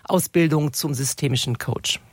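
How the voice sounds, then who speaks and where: A woman's voice: low-pitched, moderate pace, a little mellow, dry recording, clean studio sound. A woman in her sixties giving an articulate, reflective personal account as a guest in a radio interview. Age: 60s. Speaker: interview guest, retirement coach.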